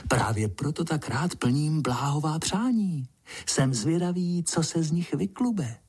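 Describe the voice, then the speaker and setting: A man's voice: medium pitch, expressive, a little mellow, breathy edge. A man voicing a playful, curious wind in a children's storybook, with a breezy, musing manner. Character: whimsical playful wind, curious granter of foolish wishes.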